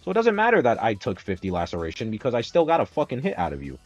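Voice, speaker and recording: A man's voice: medium pitch, wildly swooping pitch, rapid-fire, a little mellow, muffled recording, faint music behind. A young man from the Dominican Republic hosts a stream and argues hard about a game, swearing often and getting more and more exasperated. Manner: argumentative, swears a lot, rising exasperation. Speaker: streamer host. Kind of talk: heated casual gaming debate.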